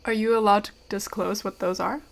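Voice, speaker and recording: A woman's voice: medium pitch, fairly even intonation, brisk, creaky, natural voice. Woman as young female interviewer, polite, inquisitive, dry wit, mid-range voice, audio drama. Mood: curious.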